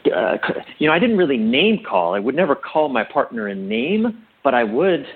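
A middle-aged man speaking reflectively as an interview guest, with a husky voice, often halting and correcting himself with emphasis. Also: medium pitch, big pitch swings, phone-quality sound. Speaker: interview guest, relationship coach.

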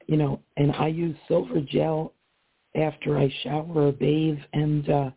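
A hoarse woman calling in to a radio show, speaking slowly and hesitantly with many pauses. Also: sits low. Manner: slow, hesitant, many ums. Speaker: caller. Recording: tinny phone-line sound.

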